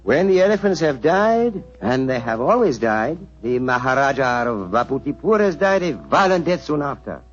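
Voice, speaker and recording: An actor playing a suave, courtly Indian prince with a formal, ornate and smoothly deferential manner, in 1940s radio style. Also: male voice, mid-range voice, slight background noise, lightly acted. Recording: muffled 1940s radio recording.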